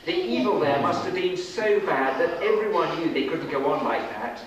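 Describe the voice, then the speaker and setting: A middle-aged man reading a scripted story aloud to children in a dramatic, deliberate way with pauses. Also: on the high side, animated, a crowd in the background. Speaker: storyteller at a children's puppet show. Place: children's classroom-like room, indoors. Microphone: far camera. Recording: echoey room, distant camera mic, noisy.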